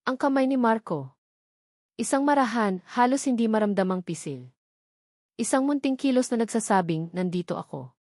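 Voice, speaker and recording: A woman's voice: on the high side, animated, moderate pace, pauses often, a little mellow, dry recording, studio quality. A woman reading a scripted dramatic love story as its narrator.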